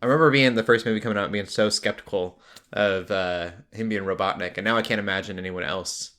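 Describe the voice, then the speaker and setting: A man's voice: on the low side, quick pace, a bit bright. A young adult man hosting a casual conversation about movies. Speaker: podcast host.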